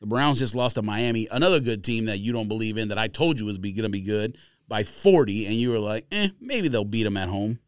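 A man's voice: fairly low pitch, expressive, fairly quick, clean tone, dry recording, clean studio sound. A man co-hosting a sports podcast, making his case in a combative, insistent and challenging way. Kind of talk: casual sports debate.

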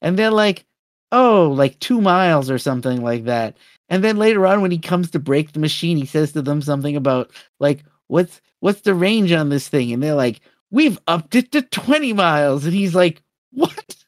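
A man co-hosting a casual film discussion, retelling scenes with animated acted-out quotes and often stumbling over repeated words. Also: fairly high pitch, moderate pace, no echo, studio quality. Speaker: podcast co-host.

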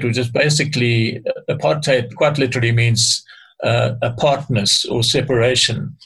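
An older man speaks in a gravelly, creaky voice that stays flat and level in pitch. He explains things in a measured, hesitant way, often pausing and starting phrases again, as a guest being interviewed. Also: rough recording. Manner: hesitant, measured, frequent restarts. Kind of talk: explaining history in conversation.

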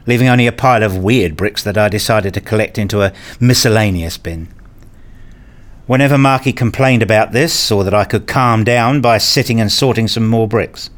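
A man's voice: fairly low pitch, lightly acted. A man acting in a radio play as a weary, wryly self-mocking academic father who narrates his own story. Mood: weary, reflective. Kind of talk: first-person narration and acted dialogue.

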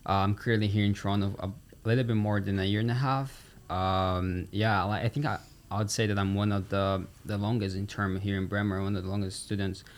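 A man's voice: fairly low pitch, flat delivery, fairly quick, few pauses. A young man with a Brazilian accent, chatting casually as a student guest on a podcast. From São Paulo, Brazil. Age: teens or young adult. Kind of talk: casual interview chat.

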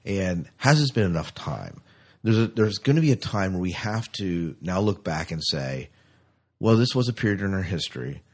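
A man's voice: deep voice, big pitch swings, normal speed. An American man hosting a podcast in a conversational style, posing questions as he talks. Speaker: podcast host.

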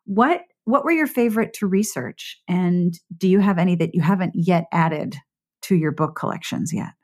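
A woman's voice: fairly low pitch, moderate pace. A woman hosting a podcast, asking questions in a casual interview chat.

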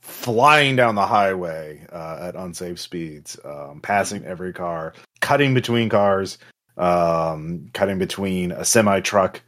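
A man running a tabletop game as game master, narrating casually and off the cuff in a halting way with drawn-out pauses. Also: deep voice, fairly bright tone.

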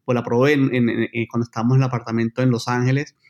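A man's voice: mid-range voice, level tone, fast talker, a little mellow, highs cut off. Man, hesitant casual storytelling. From Colombia. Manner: hesitant, many fillers and restarts, uneven pace. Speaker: journalist guest. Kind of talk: casual chat.

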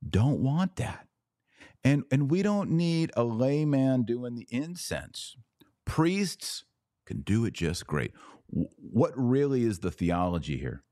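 A man hosting a podcast, giving sarcastic, animated commentary and now and then leaping to high, incredulous exclamations. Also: no echo, clean studio sound. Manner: slow deliberate Latin prayer recitation. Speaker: podcast host.

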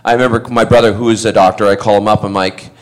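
Middle-aged actor at a fan panel, lively anecdotes, keeps laughing and doing voices. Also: male voice, fairly low pitch, quick pace. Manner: animated, laughing, acts out other voices. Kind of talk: anecdotal storytelling to an audience.

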